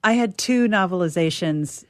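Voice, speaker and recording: A woman's voice: on the low side, lively intonation, moderate pace. A woman, a radio theatre player, bantering casually on a show.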